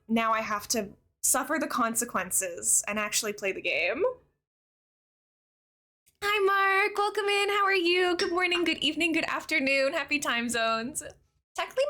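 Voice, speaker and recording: A woman's voice: fairly high pitch, bright, forceful tone. A young woman livestreamer chatting casually with her viewers in a bubbly, talkative way. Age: young adult. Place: live game stream.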